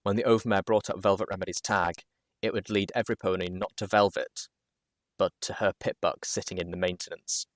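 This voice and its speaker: Low-voiced man reading a story, dry sound. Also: fairly even intonation, a little fast, no echo. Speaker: audiobook reader, show host. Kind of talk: dramatic first-person story narration.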